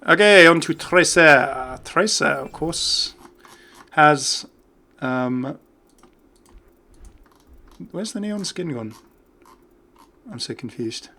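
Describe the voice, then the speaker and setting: A man's voice: on the high side, lively intonation, very slow, pauses often, pushed and bright. A British man hosting a gaming video with chatty, casual, off-the-cuff commentary. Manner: chatty, easily amused.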